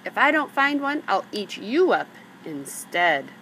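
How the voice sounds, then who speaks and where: A woman's voice: medium pitch, sing-song, a little slow. A woman voicing a hungry, demanding little male gnome in a children's storybook read-aloud, with a bullying manner. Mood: angry, threatening. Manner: shouting, demanding. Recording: clearly noisy background.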